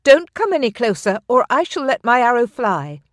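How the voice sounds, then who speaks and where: A narrator voicing a wary young noblewoman archer who talks in a sharp, demanding, slow and forceful way. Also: medium pitch, big pitch swings, no echo, clean studio sound. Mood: warning. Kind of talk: audiobook character reading.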